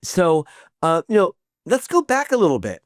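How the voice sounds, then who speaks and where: A man hosting a podcast interview, asking questions with halting restarts. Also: high voice, huge pitch swings, no room sound, studio quality. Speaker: podcast host.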